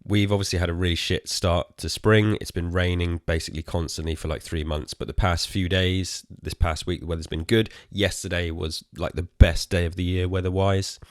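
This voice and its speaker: Tired, hoarse English man with a very deep voice. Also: steady tone, moderate pace, hardly any pauses, dry recording, studio quality. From England. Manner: rambling, self-deprecating, swears casually. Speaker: podcast host. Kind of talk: casual solo podcast chat.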